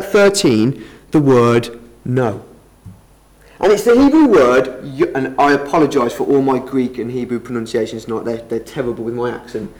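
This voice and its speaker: A man's voice: fairly high pitch, poor audio. A man giving a Bible talk to a congregation, earnest and explanatory, often restarting his sentences. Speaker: lay preacher. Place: meeting room with an audience.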